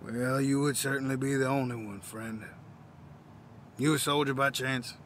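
A man playing a weary, proud old war veteran, gruff, wry and folksy, in an audio drama. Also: mid-range voice, fairly even intonation, moderate pace, fairly bright tone, a little hiss or hum, close to an everyday voice. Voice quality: gravelly. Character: weary, proud old male Vietnam veteran down on his luck.

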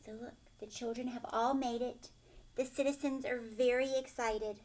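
A woman in her thirties reading a children's picture book aloud expressively, pausing to explain and ask questions like a teacher. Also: fairly high pitch, fairly even intonation, a little mellow, rough recording. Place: furnished living room, indoors.

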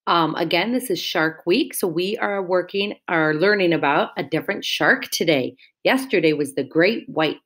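A woman's voice: on the low side, normal speed, few pauses, a bit bright. A woman teacher reading a picture book aloud to young children, explaining words and asking them questions in a sing-song way.

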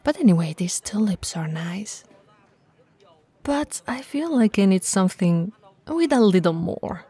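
A woman acts out a tall, easygoing female bento shop owner in an intimate ASMR roleplay. Her voice sits at a medium pitch with a soft, husky breathiness. She takes her time, chatting in a playful, teasing and friendly way. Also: big pitch swings, measured pace, exaggerated voice. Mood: relaxed, chatty. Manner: casual, playful, hesitant and chatty.

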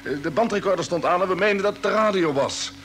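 An actor playing an earnest, courteous but urgent spaceship commander in a vintage radio drama. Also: male voice, high voice, lively intonation, quick pace, fairly bright tone, slight background noise. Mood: subdued.

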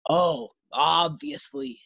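Man acting a husky, deadpan best friend. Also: a little high, drawn-out words, dry recording, exaggerated voice. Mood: dry, teasing. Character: dry, sensible male friend needling an overexcited pal. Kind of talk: old-time radio sitcom acting. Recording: slightly dull, muffled sound.

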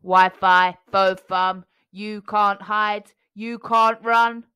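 Woman as a loud, slow robotic giant. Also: medium pitch, fairly even intonation, clear, resonant tone, muffled recording. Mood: booming. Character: menacing giant robotic melon monster, hungry.

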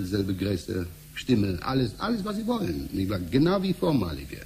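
An actor with a Slavic accent playing an obliging, long-winded scientist in a 1970s radio play, politely rambling and seeking agreement. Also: male voice, medium pitch, dark tone, a little hiss or hum, lightly acted. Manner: polite, rambling, repeatedly adds tag questions.

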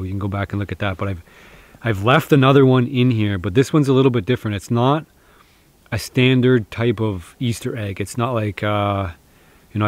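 A man in his thirties vlogging outdoors in a snowy forest, talking casually and encouragingly to camera with lots of fillers and restarts. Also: fairly low pitch. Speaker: bushcraft vlogger.

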